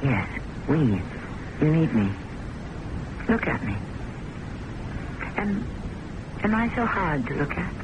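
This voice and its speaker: A woman's voice: big pitch swings, takes it slowly, long pauses, highs cut off, full character voice. Intense, insistent American woman, noisy radio. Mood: fervent, pleading. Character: intense, possessive woman, insistent and romantically obsessed. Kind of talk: radio mystery drama acting. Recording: noisy old radio broadcast with rumble.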